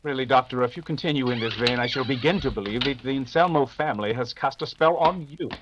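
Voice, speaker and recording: Casting: male actor as wry, unruffled detective, mid-pitched, urbane and dismissive, radio drama, slightly lo-fi recording. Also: fast talker, background noise, close to an everyday voice. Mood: unruffled, genial. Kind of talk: old-time radio detective drama acting.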